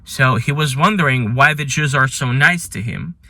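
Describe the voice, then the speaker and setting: A man talks in English, which is not his first language, with an earnest, admiring tone and some halting, imperfect phrasing. His voice is bright and forceful, at a middle pitch for a man. It sounds very dry, close on the mic. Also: no room sound. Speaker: channel host. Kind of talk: ranking list commentary.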